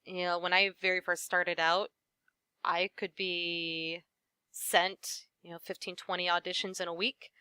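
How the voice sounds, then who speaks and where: A woman voice actress giving advice in a casual interview, friendly and quick to laugh, with lots of "you know.". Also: fairly low pitch, level tone, pushed and bright, clean tone, no room sound.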